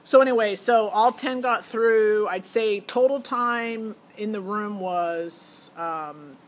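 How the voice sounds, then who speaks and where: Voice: medium pitch, slow pace, slight background noise. A middle-aged parent giving a casual, candid spoken recap that rambles and quotes past conversations. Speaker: parent reviewing a child's talent show audition.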